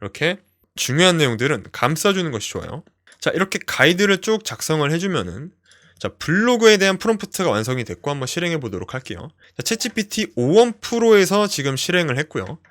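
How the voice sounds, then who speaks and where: A man's voice: a little high, big pitch swings, quick pace, fairly bright tone. A man presenting an instructional tutorial, explaining things step by step in simple terms. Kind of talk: instructional screen walkthrough, explanatory.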